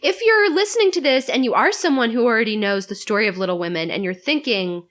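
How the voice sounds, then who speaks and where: A woman's voice: fairly high pitch, lively intonation, fast, a bit bright. A woman on a podcast, explaining things in a casual, playful and joking way. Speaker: podcast guest. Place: in-person group around a table.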